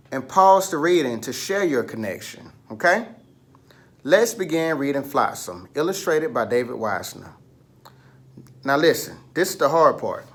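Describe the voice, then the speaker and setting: A man's voice: fairly high pitch, fairly bright tone. A man leading a picture book read-aloud lesson for children, instructing, asking questions and prompting listeners along.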